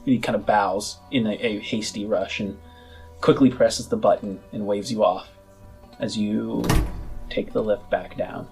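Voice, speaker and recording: Slow-talking, low-voiced man running a game. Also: steady tone, darkish tone, faint music behind. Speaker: game master. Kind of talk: narrating a tabletop roleplaying game.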